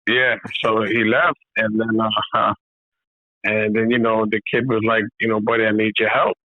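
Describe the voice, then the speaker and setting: Boxing trainer guest on a remote call, easygoing, loose "you know" phrasing, thin phone-line sound, dry. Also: male voice, on the low side, normal speed, no echo. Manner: relaxed, repeats "you know" often. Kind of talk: casual remote interview. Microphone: video call. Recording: tinny phone-like call audio.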